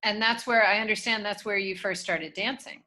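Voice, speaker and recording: A woman's voice: mid-range voice, fairly even intonation, normal speed, bright, forceful tone. A woman interviewing a dancer, asking questions and reacting with encouraging enthusiasm.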